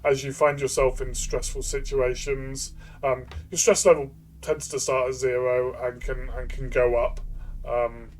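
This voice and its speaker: A man who plays and reviews tabletop games, explaining things casually in a tentative, halting way and repeating words. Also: medium pitch, a little hiss or hum. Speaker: tabletop game reviewer and player.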